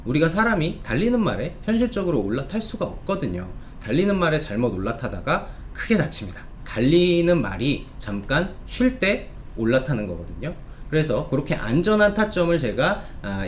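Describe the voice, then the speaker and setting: A man hosting a stock review show, addressing online viewers in polite, formal speech with drawn-out hesitations between phrases. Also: on the high side, slight background noise.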